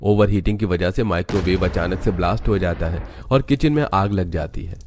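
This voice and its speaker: A man's voice: sits low, fairly quick, heavy background noise. A man reading scripted narration for a cartoon story as its narrator.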